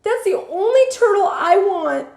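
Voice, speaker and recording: A woman's voice: very high voice, lively intonation, unhurried, gravelly, a little mellow, voice pushed up, exaggerated voice. A woman reading as an upset, stubborn young boy, protesting emphatically and sulkily. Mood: upset, pleading. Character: upset, stubborn young boy who lost his pet. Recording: echoey room.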